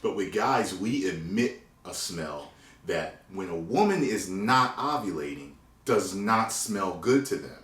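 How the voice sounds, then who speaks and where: Very deep-voiced man, roomy and distant. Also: animated, measured pace, fairly bright tone. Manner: loose, explaining, swears casually. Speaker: channel host. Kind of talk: casual chat. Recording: roomy and distant with faint noise.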